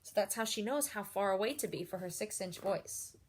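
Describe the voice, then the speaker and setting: A woman reading a children's picture book aloud like a teacher, expressive and encouraging, putting questions to her young listeners. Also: medium pitch, brisk. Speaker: read-aloud storyteller and teacher.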